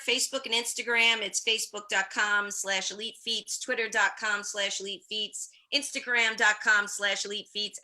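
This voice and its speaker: Bubbly woman host on a video call, bright chatty delivery. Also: medium pitch. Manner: bubbly, chatty, laughs easily. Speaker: podcast host. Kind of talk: casual video-call chat show.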